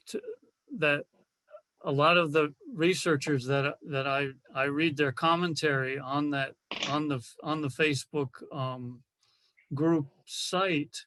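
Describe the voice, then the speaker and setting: A man talks slowly and tentatively at an informal online meeting. His voice sits a little high, and he often doubles back on words, with very little room sound around him. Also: a bit bright, dry recording. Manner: halting, repeats words, tentative. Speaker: club member in a meeting. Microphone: video call.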